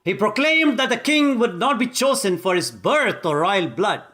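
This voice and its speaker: A man's voice: sits high, big pitch swings, moderate pace, pitched above the performer's own voice, heavily acted. A man whose first language is Tamil, voicing a righteous warrior king who declaims in a commanding way. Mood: measured. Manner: declaiming, forceful.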